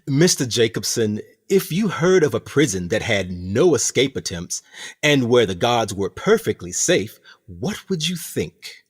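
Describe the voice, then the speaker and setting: A man playing a smooth, sinister prison director in a radio play, courteous, formal and theatrical in his eloquent, measured speech. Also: mid-range voice, big pitch swings. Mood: coolly persuasive. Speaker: actor in an audio drama.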